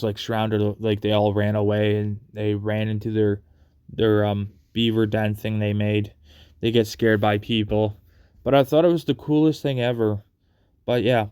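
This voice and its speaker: Flat-toned man with a clear voice. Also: fairly low pitch, no room sound, studio quality. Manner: rambling, halting, repeats phrases. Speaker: video creator telling personal stories. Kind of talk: casual storytelling voice-over.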